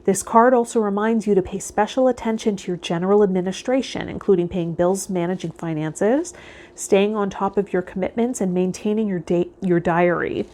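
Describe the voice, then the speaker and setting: Female tarot vlog host, medium pitch, measured and instructive, addressing viewers directly. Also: dark tone. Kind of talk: reading tarot card meanings aloud, then casual guided talk to viewers.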